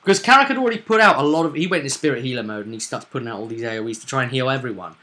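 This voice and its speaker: Guy running a tabletop game, bright voice, chatting casually and explaining stuff, keeps asking for agreement. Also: mid-range voice, lively intonation, racing. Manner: explanatory, often seeking agreement with tag questions. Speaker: game master. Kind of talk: casual chat recapping a tabletop roleplaying game.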